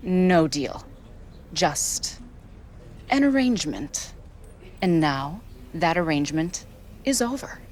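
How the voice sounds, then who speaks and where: An actress playing a solemn, menacing female wrestler from a cult-like faction in a video game, speaking in slow, portentous phrases. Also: low-pitched, very animated, darkish tone, full character voice. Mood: cold, solemn. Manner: slow, portentous, deliberate delivery. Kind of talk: video game cutscene acting.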